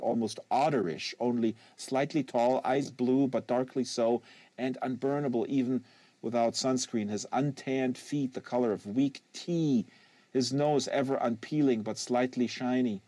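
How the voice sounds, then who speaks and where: A man's voice: medium pitch, a little mellow, no room sound. Man reading a novel, dry studio sound. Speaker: audiobook reader and host. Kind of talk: live literary novel reading, scripted narration. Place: small acoustically treated home studio. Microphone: close studio microphone.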